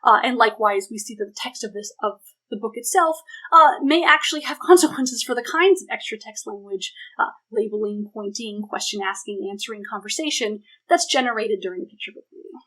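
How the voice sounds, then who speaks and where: A woman's voice: fairly high pitch, animated, fairly quick, fairly bright tone, rough recording. A woman researcher explaining her ideas in an academic talk, emphatic and thinking aloud as she goes. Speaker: academic researcher, panel presenter. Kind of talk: academic presentation and question answering.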